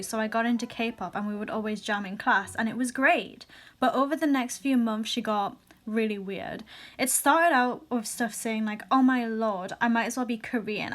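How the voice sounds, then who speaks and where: A young woman reading viewer stories aloud in a casual vlog, with sassy asides and quick restarts. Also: fairly high pitch, fairly bright tone. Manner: chatty, sassy asides, frequent laughs and restarts. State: recently sick.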